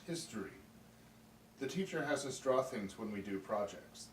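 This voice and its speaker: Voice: mid-range voice, expressive, moderate pace, lightly acted. A performer playing a polite, hesitant young male student in an amateur puppet show, giving short, simple answers. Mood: polite, plain. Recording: roomy, distant mic with faint noise.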